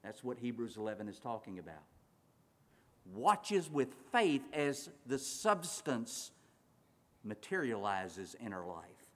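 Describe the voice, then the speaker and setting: A man preaching a sermon, swinging between loud urgent passages and quiet slow ones, drawing out words for emphasis. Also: medium pitch, big pitch swings. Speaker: pastor. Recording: long reverb of a large hall.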